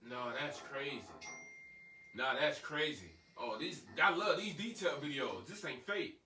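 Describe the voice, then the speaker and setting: Male reaction host, slangy and animated, higher bright voice, roomy sound away from the mic. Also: a little slow, far from the mic, slight background noise. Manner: slangy, animated, talking to viewers. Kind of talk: casual reaction commentary.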